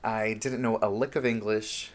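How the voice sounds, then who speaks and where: A man's voice: on the low side, fairly even intonation, moderate pace. A man talking casually and openly to his viewers as a self-help vlogger, with an earnest, encouraging, informal manner. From Honduras, moved to the United States at seven. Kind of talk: casual confessional vlog talk to viewers.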